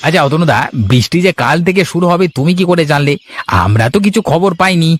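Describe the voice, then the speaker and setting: A man's voice: fairly high pitch, lively intonation, quick pace. A man playing a compliant village sidekick in a cartoon, talking in a casual, deferential way. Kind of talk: Bengali cartoon story acting.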